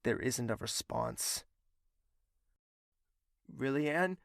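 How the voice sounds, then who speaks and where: A man's voice: mid-range voice, expressive, takes it slowly, gravelly, heavy background noise. A man playing a lovesick, stubborn young prince in an audio drama, earnest, formal and melodramatic. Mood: puzzled, searching.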